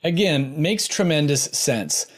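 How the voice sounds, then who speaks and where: Male host, fairly high voice. Conversational interviewer who leads into questions through short stories. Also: lively intonation, takes it slowly.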